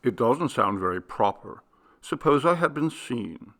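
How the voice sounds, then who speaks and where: A man voicing a gentle, curious young woman of twenty-two in an audiobook story, questioning and deliberate. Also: mid-range voice, sing-song. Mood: pensive.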